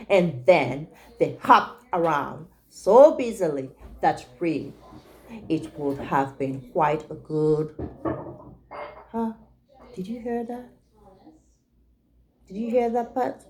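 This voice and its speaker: A woman reading a picture book aloud to young children as a teacher, pausing to check in with her listeners. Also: mid-range voice, a little mellow.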